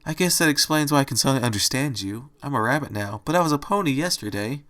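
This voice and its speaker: A man's voice: medium pitch, lively intonation, fast, fairly bright tone, lightly acted. A man voicing a timid, anxious female pony turned little rabbit in a storybook, hesitant and stammering. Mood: nervous pleading.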